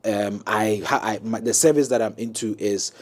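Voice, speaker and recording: A man talking as a guest brand consultant on a panel talk show, pausing with many ums, then growing emphatic. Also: lowish voice. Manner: hesitant, many ums, grows animated and emphatic.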